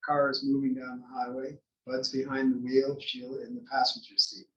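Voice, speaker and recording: A man reads stage directions in scripted narration. His voice is mid-pitched and sounds distant, with plenty of room echo. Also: monotone, moderate pace, fairly bright tone, lo-fi sound. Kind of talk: scripted narration at a table read. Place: library room, table read. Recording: echoey library room, distant mic.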